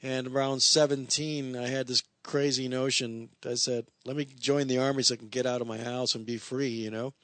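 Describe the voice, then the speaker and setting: A man in his fifties from Puerto Rico recalls his music life in a relaxed, rambling way. He is mid-pitched and restarts often. He is on a dry studio mic. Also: moderate pace, few pauses, no echo. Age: 50s. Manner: rambling, easygoing, with frequent restarts. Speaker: guest musician. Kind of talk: casual chat. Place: radio studio. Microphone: studio microphone.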